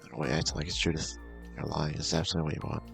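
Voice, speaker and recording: A man reading as Judith, intense and gravel-deep. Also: monotone, racing, music in the background. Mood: cold, taunting. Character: intense, controlling young woman, Judith, in horror visual novel.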